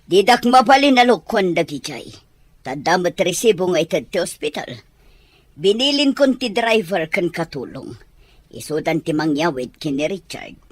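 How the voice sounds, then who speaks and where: A woman's voice: lowish voice, big pitch swings, normal speed, no room sound. A woman with a Filipino accent playing a wealthy, complaining middle-aged mother in an audio drama. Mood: curt, defensive.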